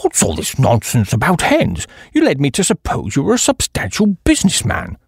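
A man's voice: a little high, huge pitch swings, normal speed, breathy edge, dry recording, studio quality, heavily acted. A man voicing a haughty, easily affronted old English duke in an audiobook, stiff and formal. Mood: angry, stern. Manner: stiff, formal, coldly polite.

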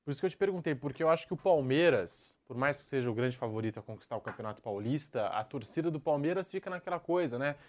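A man's voice: mid-range voice, expressive, fast, few pauses. A man hosting a sports talk show, steadily steering the talk with questions to the panel.

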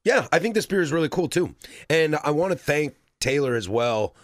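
A man's voice: fairly high pitch, lively intonation, normal speed, dry recording. A man co-hosting a casual beer-tasting chat, hesitant and stammering but playful. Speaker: podcast co-host.

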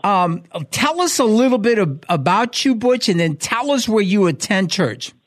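Upbeat pastor hosting a talk show. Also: male voice, high voice, big pitch swings, normal speed, no echo, clean studio sound. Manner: upbeat, enthusiastic. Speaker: host, pastor. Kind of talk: radio talk show chat.

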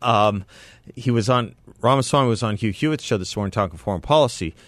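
A man's voice: on the low side, brisk, fairly bright tone, no echo. A man hosting a radio talk show, chatting with a colleague in a conversational, self-correcting way. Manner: conversational, self-correcting, reads ads with enthusiasm. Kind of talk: casual radio talk and live ad read. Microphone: studio microphone.

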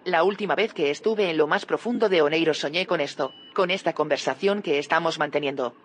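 Actress playing an earnest, probing sci-fi heroine. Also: female voice, sits low, fairly quick, a little hiss or hum, lightly acted. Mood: confronting, intent. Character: earnest, probing woman confronting a colleague. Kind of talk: audio fiction drama acting.

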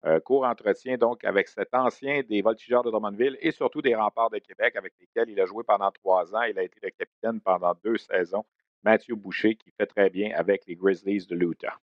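A man hosts a hockey radio segment in a low voice with plenty of fillers. The sound is dry and slightly muffled. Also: steady tone, brisk, no echo. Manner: conversational, many fillers. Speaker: sports radio host. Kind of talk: hockey news segment and interview.

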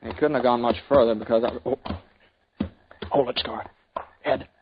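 An actor playing a calm, dry-spoken frontier gunman who narrates his own story in a 1950s radio drama. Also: mid-range voice, moderate pace, background noise, lightly acted. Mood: quiet questioning. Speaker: lead narrator and hero. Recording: dull old radio broadcast with music.

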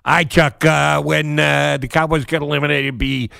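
A man's voice: on the high side, little pitch movement, moderate pace, vocal fry, bright, forceful tone, smooth, no room sound, studio quality. A man hosting a casual sports radio interview, putting questions in blunt, informal phrasing.

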